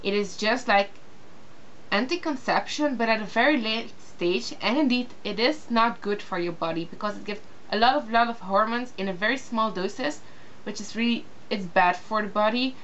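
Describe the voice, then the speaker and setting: A young woman from Belgium speaks English as a second language, pausing often with fillers and restarting her sentences. Her voice sits at a middle pitch and comes through slightly dull, lo-fi webcam audio with a faint hiss behind it. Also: fairly bright tone. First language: not English. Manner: hesitant, many fillers and restarts. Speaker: vlogger. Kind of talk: casual video response.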